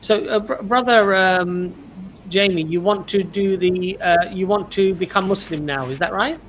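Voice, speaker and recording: Patient stream host, high-pitched man, steady and guiding. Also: normal speed, slight background noise, low-quality recording. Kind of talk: hosting a live stream. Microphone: video call.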